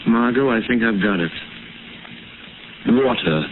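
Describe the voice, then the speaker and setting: An actor playing a calm, deliberate gentleman sleuth in 1930s radio drama style. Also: medium pitch, natural voice. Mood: intent. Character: sharp-minded crime-solving gentleman sleuth, calm and deliberate. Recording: scratchy, noisy, tinny 1930s radio recording.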